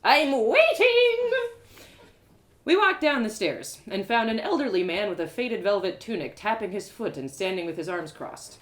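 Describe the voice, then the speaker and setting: A woman's voice: medium pitch, big pitch swings, brisk, few pauses, roomy sound. A woman storyteller reading first-person fiction aloud as a dramatic live narration. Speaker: author and storyteller.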